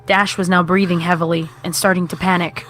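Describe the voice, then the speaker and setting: A woman's voice: on the low side, flat delivery, fairly quick, fairly bright tone, soft background music. A woman reading scripted horror fiction as the narrator.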